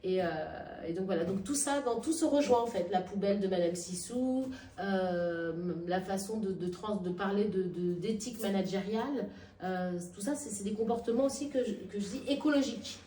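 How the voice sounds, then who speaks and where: A woman being interviewed, with frequent restarts and hesitations that give way to emphatic, lively stretches. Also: medium pitch, normal speed, slight background noise. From Nigeria and Madagascar. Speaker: actress and workshop founder, interviewee. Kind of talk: spontaneous interview talk. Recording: echoey room, heard from a distance.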